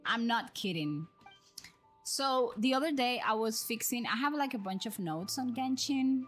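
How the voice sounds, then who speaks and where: A woman's voice: on the high side, expressive, a little slow, fairly bright tone. A woman chatting casually as she hosts a game livestream, talking straight to viewers and often checking in with tag questions. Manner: conversational, addresses viewers with frequent tag questions. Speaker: live streamer, host. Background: background music.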